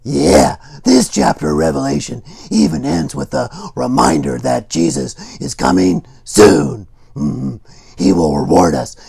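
Goofy dinosaur puppet, children's Bible skit: heavily gravelled, unhurried, excitable. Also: male voice, mid-range voice, heavily acted. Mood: earnest. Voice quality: husky, gravelly. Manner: playful, chatty, exclamatory.

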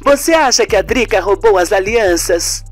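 A man's voice: sits high, animated, moderate pace, no echo, soft background music. A man voicing a puzzled, reasonable husband in a radio serial, colloquial and questioning.

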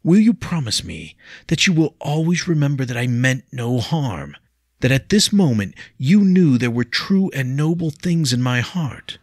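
A brooding young nobleman in a storybook tale, heated and bitter with remorse , as a man acts him out. The voice is very deep and gravelly with a husky edge, close and dry. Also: animated, moderate pace, fairly bright tone, no room sound, full character voice. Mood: pleading.

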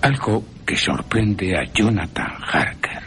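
Very deep, slow, theatrical Spanish storyteller man. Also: very animated, a bit bright. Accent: Spanish from Spain. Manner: theatrical, suspenseful, with long pauses. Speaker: radio drama narrator and presenter. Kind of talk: dramatic scripted horror narration.

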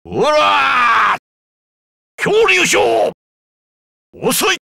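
A haughty yeti war robot from a video game, with a gravelly, forceful voice. He declaims scornfully and imperiously, swinging widely in pitch, like a boss looking down on lesser foes. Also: sing-song, drawn-out words, full character voice. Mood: battle fury. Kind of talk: Japanese video game villain acting.

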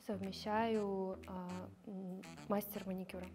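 A woman's voice: medium pitch, level tone, unhurried, darkish tone. A woman in her twenties answering interview questions thoughtfully and with some hesitation, wearing a lapel mic. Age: 20s. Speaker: show participant. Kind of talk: casual interview. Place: studio with grey backdrop.

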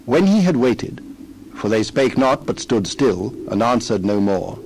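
A man narrates a dramatized scripture reading. His voice sits at an average pitch and he tells the story in measured, formal phrases. There is slight background noise. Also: big pitch swings, darkish tone, rough recording.

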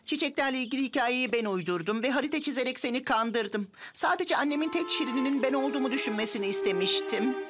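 An excitable cartoon boy, mischievous yet earnest. The voice is high and swings widely, with loud music behind it. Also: fast talker, hardly any pauses. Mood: sheepish. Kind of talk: cartoon dub acting.

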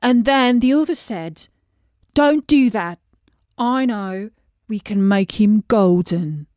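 A woman's voice: medium pitch, lively intonation, slow, a little mellow, dry recording, clean studio sound. A woman voicing a glum, plain male pond fish in a storybook reading, speaking in a mournful, heavy way. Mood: gloomy.

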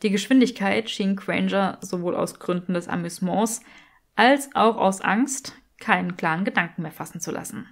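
A woman's voice: mid-range voice, normal speed, vocal fry. A woman narrating a scripted story as an audiobook reader.

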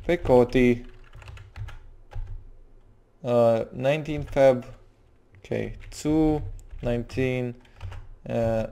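Young man muttering gravelly while streaming. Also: mid-range voice, dark tone. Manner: mutters to himself while typing, frequent restarts. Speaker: game streamer. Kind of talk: live-streamed roleplay commentary.

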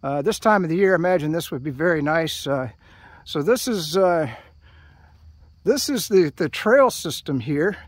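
An older American man chatting casually outdoors as a hiking vlogger, in a rambling, halting way. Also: a little high, animated, dry recording, clean studio sound. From United States. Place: outdoors at a trailhead by open fields. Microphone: handheld camera held by speaker.

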